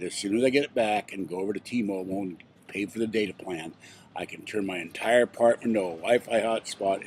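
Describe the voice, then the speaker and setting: A man's voice: on the low side, slight background noise. An older man from Pittsburgh telling a rambling, indignant story straight to camera in a casual video blog. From Pittsburgh, USA. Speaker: vlogger. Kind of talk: casual vlog rant.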